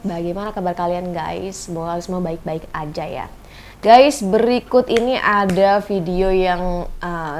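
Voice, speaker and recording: A woman's voice: lowish voice, darkish tone, a little hiss or hum. A woman hosting a reaction channel, chatting casually to her viewers with frequent fillers. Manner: chatty, addresses viewers as guys, drawn-out fillers. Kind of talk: casual vlog commentary.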